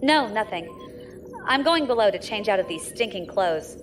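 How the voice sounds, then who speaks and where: An actress playing a dry, commanding, ambitious space pirate captain in an audio drama. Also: female voice, mid-range voice, animated, normal speed, music in the background, natural voice.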